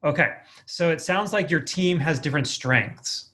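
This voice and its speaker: A man with a slightly high-pitched voice hosts a podcast interview. He talks and asks questions in a casual, conversational way. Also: normal speed, a bit bright. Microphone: online video call recording.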